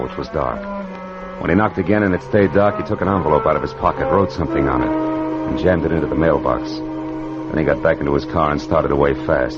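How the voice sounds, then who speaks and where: An American actor playing a wry, hard-boiled private detective, narrating vintage radio noir with sardonic punch. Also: male voice, a bass voice. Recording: muffled vintage radio, music behind.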